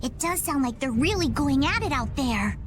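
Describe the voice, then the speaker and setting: Blunt, high-voiced young mage. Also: female voice, expressive, normal speed. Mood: dry. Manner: blunt and dry. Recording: game audio with loud music behind.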